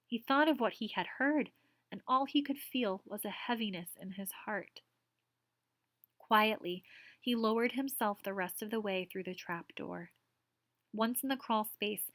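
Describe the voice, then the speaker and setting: A teacher reading a story aloud to a class, softly pausing to explain words in plain terms. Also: female voice, medium pitch, dry recording, clean studio sound. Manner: soft, explanatory.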